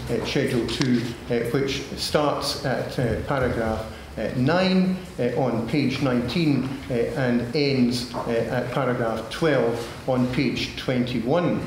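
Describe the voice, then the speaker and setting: Older male panelist, slow, halting delivery with lots of ums, recorded from afar in a reverberant hall. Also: mid-range voice. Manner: halting, many filler sounds, measured. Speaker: panel speaker. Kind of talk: policy panel talk. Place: large formal room with audience. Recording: echoey hall, room noise.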